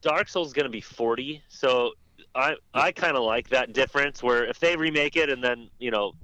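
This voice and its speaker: A man chatting casually as a podcast panelist about video games, rambling and haltingly rephrasing himself. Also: mid-range voice, pushed and bright. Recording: dry, dull lo-fi audio.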